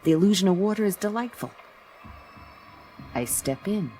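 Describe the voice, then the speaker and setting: A woman's voice: medium pitch, animated, normal speed, dark tone, a little hiss or hum, close to an everyday voice. A woman playing a tough, sardonic female private eye in a noir radio drama, speaking in a dry, unimpressed, hardboiled way. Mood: cool, wry. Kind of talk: first-person noir radio drama narration and dialogue. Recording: music under the voice. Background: music.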